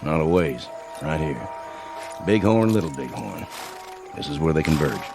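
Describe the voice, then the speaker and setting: A man's voice: rumbling low, expressive, moderate pace, music in the background. A man playing a seasoned, sardonic frontier army scout, dry and folksy, in a radio drama. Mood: matter-of-fact.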